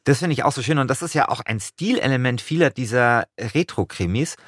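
A man's voice: mid-range voice, lively intonation, a little fast, a bit bright, no room sound, studio quality. A man co-hosting a podcast, chatting casually and analytically in an enthusiastic, wordy way. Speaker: podcast co-host.

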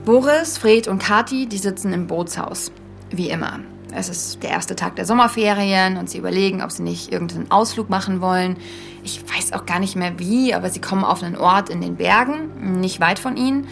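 An actress playing an earnest young podcaster, speaking in a casual, slightly hesitant storytelling style. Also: female voice, mid-range voice, a little fast, gravelly, soft background music, lightly acted. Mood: suspenseful. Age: young adult. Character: earnest young female podcaster haunted by a childhood memory. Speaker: podcast host and narrator. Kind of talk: conversational first-person audio drama storytelling.

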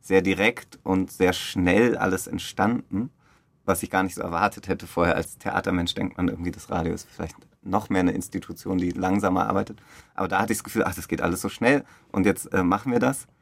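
A man's voice: low-pitched, fairly even intonation, a little fast, vocal fry, no room sound. A man giving casual, reflective interview answers as a theatre director, feeling for his phrasing and often correcting himself. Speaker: theatre director interviewed about a radio play.

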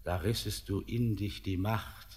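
An actor playing an ancient, eerie male miner who mocks and tempts, speaking slowly with a brooding, sardonic manner in a classic radio play. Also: lowish voice, fairly even intonation, darkish tone, close to an everyday voice. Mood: mocking. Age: older. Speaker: old mountain spirit-miner Torbern. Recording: long reverb with music behind.

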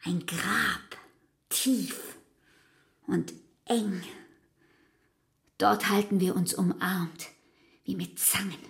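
An actress playing a troubled, devoted young lover in a literary radio drama, speaking intensely in short, halting phrases. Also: female voice, medium pitch, steady tone, vocal fry. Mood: dark longing.

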